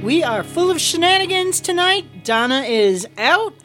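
A woman's voice: very high voice, big pitch swings, measured pace, music in the background. A woman hosting a casual show, teasing her co-host and laughing often. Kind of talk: casual radio-show banter.

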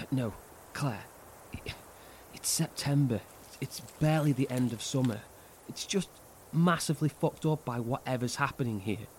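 A man's voice: medium pitch, a little hiss or hum, natural voice. A man playing a dying, infected young man. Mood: weary. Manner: halting, repeats himself, pained. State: coughing, in pain. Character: man named Roman, infected, weak and failing. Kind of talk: horror audio drama.

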